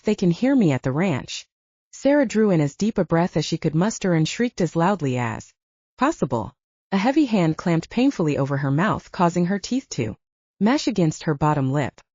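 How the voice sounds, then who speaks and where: A woman narrates dramatic fiction from a script. Her voice sits a little low and is dark and mellow, with very animated pitch. The recording is slightly dull. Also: no room sound. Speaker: audiobook narrator. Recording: dull, band-limited audio.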